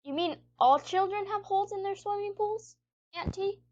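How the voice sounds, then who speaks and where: Woman acting very high-voiced eager little boy. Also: moderate pace, muffled recording. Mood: curious. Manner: childlike, insistent. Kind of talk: radio drama acting.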